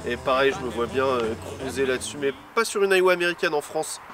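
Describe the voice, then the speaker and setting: A man co-hosting a casual chat about cars and music, relaxed and joking, rambling with many hesitations. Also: medium pitch, quick pace. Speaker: co-host. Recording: distant mic with faint outdoor noise.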